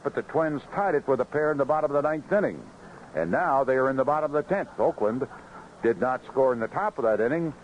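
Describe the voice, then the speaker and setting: An American man giving a seventies baseball radio report in a measured, conversational way. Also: mid-range voice. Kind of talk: 1970s radio scoreboard report and sponsor reads. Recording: dull, faintly noisy 1970s AM radio.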